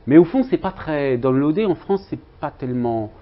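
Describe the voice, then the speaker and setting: A man's voice: fairly high pitch, expressive, normal speed, dull audio. A man lecturing in a university linguistics seminar, talking loosely with students and hesitating often with fillers and restarts.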